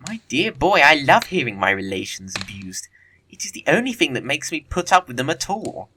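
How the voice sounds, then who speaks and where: Man playing a bright, lilting, flippant dandy. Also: medium pitch, big pitch swings, fairly quick, a little hiss or hum. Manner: breezy, arch, epigrammatic. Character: flippant, witty young English dandy. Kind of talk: audio play acting of period comedy.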